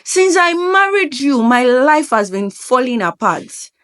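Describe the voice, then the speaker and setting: A woman's voice: sits high, big pitch swings, measured pace, fairly bright tone, pitched above the performer's own voice, heavily acted. A woman voicing a frustrated husband in a folktale, heated, bitter and accusing. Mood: bitter anger.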